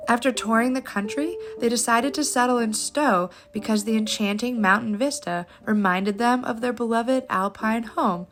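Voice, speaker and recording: A woman with a fairly high voice narrates as a travel show host. Her delivery is scripted but playful, with a touch of theatre in the phrasing. Music plays clearly behind her. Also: normal speed, hardly any pauses. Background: background music.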